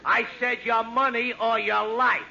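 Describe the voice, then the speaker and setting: A man playing a tough, impatient street robber in a 1940s radio comedy, giving gruff, curt, threatening orders. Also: very high voice, flat delivery, measured pace, exaggerated voice. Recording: muffled, slightly noisy 1940s radio recording.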